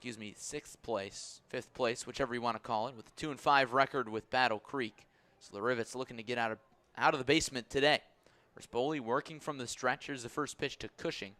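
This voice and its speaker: A man's voice: mid-range voice, a little fast, pushed and bright. A man doing live baseball play-by-play commentary for a radio broadcast.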